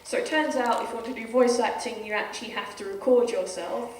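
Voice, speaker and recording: A young woman from Bristol on a convention panel, giving relaxed, wry, practical advice on amateur voice acting. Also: fairly high pitch, fairly quick, a little hiss or hum. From Bristol, England. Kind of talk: casual panel talk. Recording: echoey hall, voice from far off.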